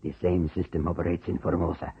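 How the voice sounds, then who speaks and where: An actor in an old radio drama playing a measured, calculating communist officer, speaking formally and deliberately. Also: male voice, low voice, level tone, breathy edge, no echo, exaggerated voice. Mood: dry, explanatory. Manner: deliberate, formal, hesitant pauses. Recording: muffled, narrow-band old radio recording.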